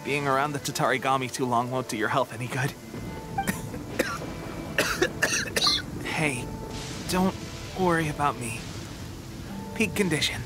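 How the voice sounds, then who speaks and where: A man's voice: mid-range voice, normal speed, over loud music, close to an everyday voice. An actor playing a brave, eager young resistance soldier in a video game who hides that he is unwell. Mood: reassuring, strained. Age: young adult.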